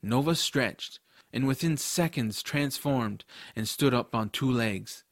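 A man playing a casual, excitable boy hero, telling a fantasy adventure in the first person. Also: mid-range voice, takes it slowly, no room sound, clean studio sound. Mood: narrating. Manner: casual, boyish phrasing. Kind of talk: first-person audiobook narration and drama.

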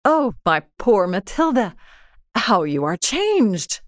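A woman's voice: mid-range voice, very animated, slow pace, no room sound, studio quality, voice pushed up. A woman voicing a genteel, reserved, well-off lady in a storybook reading, polite and emphatic. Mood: shocked, pitying.

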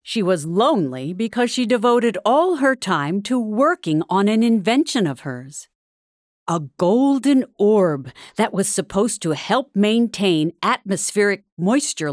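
Slow, expressive woman storyteller. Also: mid-range voice, dry recording, clean studio sound. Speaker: narrator. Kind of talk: scripted storybook narration.

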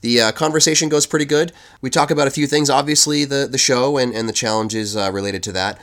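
A man's voice: medium pitch, quick pace. A man hosting an interview podcast, talking casually with many fillers and restarts. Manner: relaxed, filler-heavy, conversational. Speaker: podcast host.

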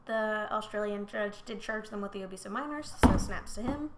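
A young woman co-hosting a casual true-crime podcast, talking bluntly and swearing freely with quick joking asides. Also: mid-range voice, a little hiss or hum. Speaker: podcast co-host.

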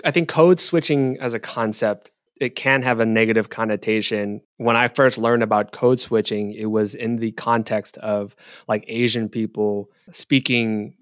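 A man's voice: fairly low pitch, steady tone, normal speed, no room sound, studio quality. A man speaking as a guest on a podcast, talking things through thoughtfully with hedges and restarts. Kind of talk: casual podcast discussion.

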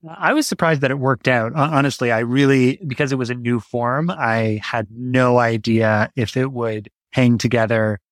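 A man's voice: medium pitch, moderate pace, hardly any pauses, dry recording. Tentative male podcast guest, dry sound. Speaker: podcast guest, writer and creator. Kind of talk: casual reflective interview talk.

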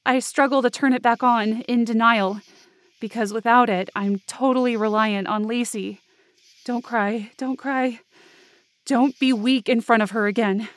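Actress as anxious young woman, high voice, tense first-person narration, fiction podcast drama. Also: fairly even intonation, a little slow, no echo, close to an everyday voice. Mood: near tears. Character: anxious young woman narrating her frightening night hike.

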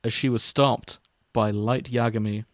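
A man's voice: lowish voice, fairly even intonation, a little slow, crowd noise. A man narrating fan fiction for comedy with casual asides, in an amused manner. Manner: amused, often breaking into laughter.

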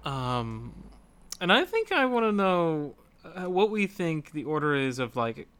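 A man co-hosts a gaming podcast in relaxed conversation. His voice sits a little high and swings widely in pitch, while his delivery is very unhurried. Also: clear, resonant tone. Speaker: podcast co-host. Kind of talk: casual chat about a video game.

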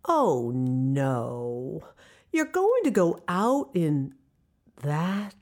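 A woman playing a critical but loving middle-aged mother in an audio drama, nagging with drawn-out emphasis. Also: medium pitch, darkish tone, exaggerated voice. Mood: disapproving.

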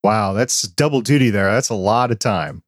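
A man with a quiet voice hosting a podcast, asking curious, friendly questions and chatting about microphones into a dynamic mic. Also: lowish voice, normal speed, no room sound, clean studio sound. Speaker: podcast host. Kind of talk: interview questions and chat.

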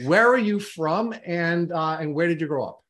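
A man's voice: a little high, moderate pace, a bit bright. A man hosting a podcast, introducing his guest enthusiastically and asking long, rambling questions. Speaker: podcast host. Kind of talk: podcast interview.

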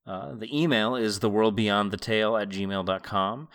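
A man with a fairly low voice hosts a solo book podcast. He sounds middle-aged and moves between reading prose aloud and informal commentary, musing as if thinking out loud. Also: steady tone, normal speed. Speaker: podcast host.

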